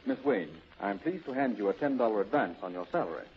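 An actor playing a brusque, easily flustered bank president with a soft heart, formal and blustery in 1930s radio style. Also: male voice, fairly low pitch, expressive, fast talker, breathy edge, full character voice. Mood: genial, flustered. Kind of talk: 1930s radio drama acting. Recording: dull, lo-fi old radio recording.

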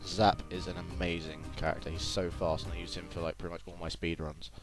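A man's voice: low-pitched, level tone, fast. A tired young man giving casual, rambling, informal commentary on a video game. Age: young adult. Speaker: gameplay commentator. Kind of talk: casual let's play commentary. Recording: game music and effects loud behind. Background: video game music and sound effects.

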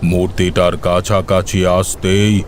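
A man's voice: low voice, little pitch movement, slow, background noise. A man narrating a scripted horror story for a cartoon.

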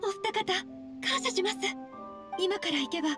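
A woman voicing a polite, earnest, slightly shy young noblewoman in a Japanese anime game, speaking gently and formally. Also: extremely high pitch, animated, moderate pace. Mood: grateful, earnest. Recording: soft game music behind.

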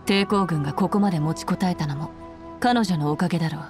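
A woman's voice: lowish voice, fast talker, darkish tone, soft background music, natural voice. A woman playing a stern, commanding female general in an anime game, speaking with cool, formal authority. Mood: cold, menacing.

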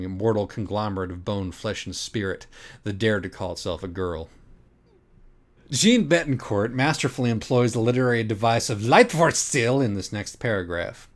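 A man reading a parody audiobook in a mock-solemn, theatrical, literary style. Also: lowish voice. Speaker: audiobook reader. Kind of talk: dramatic, profane comic narration of a parody children's book.

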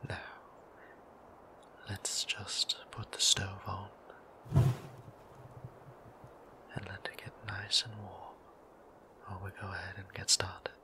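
A man voicing a gentle, formal male vampire priest in an ASMR roleplay, whispering with courtly, old-fashioned politeness. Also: deep voice, completely flat delivery, takes it slowly, pauses often, exaggerated voice. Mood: tender.

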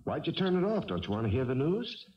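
Defensive stubborn husband, voice jumps all over, old radio play, muffled. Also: male voice, fairly high pitch, big pitch swings, fast talker, a little hiss or hum. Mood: uneasy. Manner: evasive, hesitant, argumentative. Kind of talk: 1970s radio drama acting. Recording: dull vintage radio broadcast recording.

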